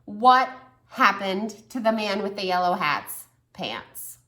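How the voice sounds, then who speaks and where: A woman's voice: medium pitch, takes it slowly, background noise. A woman in her thirties reading a picture book aloud to toddlers, stopping to ask them questions. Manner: warm, animated, asks children questions. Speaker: children's story reader and teacher. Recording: echoey room.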